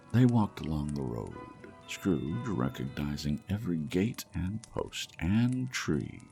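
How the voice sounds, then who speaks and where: A man reading a classic story aloud as audiobook narrator, in a slow, measured, soothing manner. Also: extremely low pitch, creaky, darkish tone. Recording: faint music behind.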